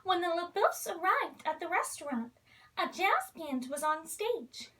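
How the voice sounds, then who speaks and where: A woman reads a storybook aloud for children. Her voice is extremely high and bright, with lively swings in pitch. She sounds set back from the microphone. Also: unhurried, recorded from a distance. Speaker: children's story reader appearing as a princess.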